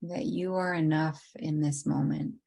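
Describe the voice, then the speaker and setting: A woman leading a guided meditation in an unhurried, gently inviting way with long pauses. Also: sits low, steady tone, gravelly, husky.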